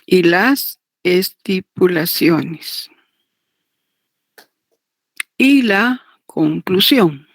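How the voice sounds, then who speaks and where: Slow, creaky-voiced woman lecturing. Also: fairly low pitch, animated, no echo. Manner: deliberate, repetitive, checks understanding with tag questions. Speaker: law lecturer teaching notarial law. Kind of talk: didactic lecture, partly read aloud.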